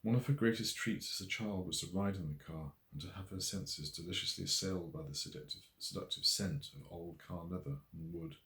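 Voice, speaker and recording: A man reading a novel aloud as its author, in measured, expressive literary narration. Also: low voice. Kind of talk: scripted audiobook narration. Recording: roomy echo.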